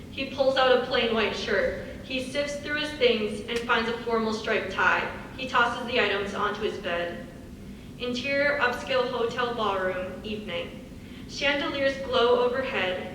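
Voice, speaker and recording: A woman's voice: fairly high pitch, a bit bright. A woman reading stage directions as scripted narration. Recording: echoey hall, far from mic, faint noise.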